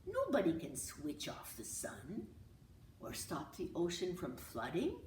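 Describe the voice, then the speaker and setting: A woman reads the part of an earnest big brother in a picture book. The boy leads and explains with wide swings of pitch, in a roomy, slightly distant recording. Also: huge pitch swings, a little slow, darkish tone. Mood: earnest explaining. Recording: roomy, distant mic with hiss.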